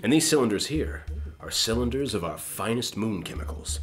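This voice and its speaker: An actor playing a brash, self-satisfied starship captain in a comedic science-fiction radio play, glib and pompous. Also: male voice, lowish voice, lively intonation, moderate pace, soft background music. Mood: grandly proud.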